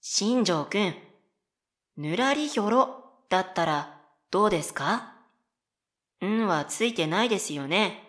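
A woman voicing a polite, whimsical and stubborn middle-school girl in a comedic radio drama, deadpan and following her own rhythm. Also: mid-range voice, measured pace, long pauses. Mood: earnestly deadpan. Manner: polite speech, deadpan, self-paced.

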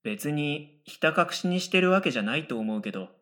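A man's voice: fairly high pitch, animated, fairly quick. A man playing a middle-school boy, the dry, exasperated straight man of a radio comedy, giving retorts and wry narration. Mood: puzzled. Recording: loud background music.

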